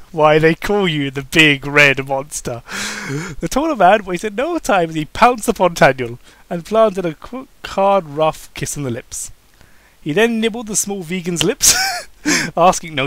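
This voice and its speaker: A man's voice: high voice, animated, unhurried, a bit bright, no echo. A man giving a comic amateur dramatic reading of fan fiction, informal and prone to mocking asides. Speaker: amateur narrator.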